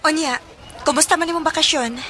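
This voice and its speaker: A woman's voice: high voice, quick pace, pushed and bright, slight background noise. A woman playing a caring, chatty, teasing young female friend in a Filipino radio drama. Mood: friendly.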